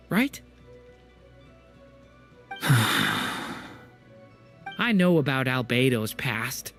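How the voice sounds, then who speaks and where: An actor playing an earnest, kind young male companion who speaks hesitantly and caringly in video game dialogue. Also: a little high.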